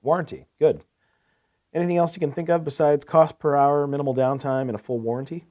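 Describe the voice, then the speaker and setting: A man's voice: a little high, fairly even intonation, brisk, dark tone, natural voice. A man playing a courteous, attentive equipment salesman in a scripted business phone call, asking probing questions. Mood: attentive, reassuring. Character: courteous, eager male heavy-equipment salesman.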